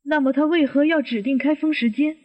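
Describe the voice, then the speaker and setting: An actress playing a suspicious middle-aged aunt who questions and reasons step by step in a Chinese audio detective drama. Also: female voice, sits high, fairly even intonation, fairly quick, lightly acted. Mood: insistent. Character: inquisitive, suspicious middle-aged aunt probing a supposed suicide. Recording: muffled, long hall reverb.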